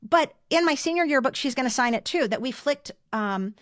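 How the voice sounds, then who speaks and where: A woman's voice: high voice, lively intonation, a little fast, a bit bright, no echo, studio quality. A woman in her fifties with an American accent, telling stories and reading aloud casually as a podcast co-host.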